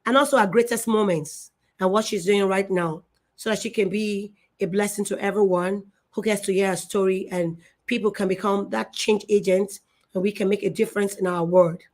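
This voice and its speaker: A woman's voice: medium pitch, steady tone, moderate pace. A middle-aged woman hosting a live online show, effusive and emotional, repeating herself and restarting her sentences. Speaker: show host.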